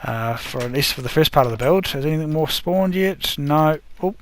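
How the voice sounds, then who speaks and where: A man's voice: a little high, expressive, normal speed, a little hiss or hum. A man casually talking through his game build step by step, often correcting himself, his voice a little worn. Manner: rambling, self-correcting, talks through each step. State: losing his voice. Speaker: gaming video host. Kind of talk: casual gameplay commentary.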